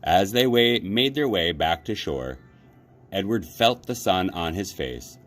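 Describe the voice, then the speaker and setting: A man reading a children's novel aloud as a library storyteller, with measured, dramatic phrasing. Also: low-pitched, animated, a bit bright. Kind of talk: children's audiobook-style read-aloud. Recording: clear background noise.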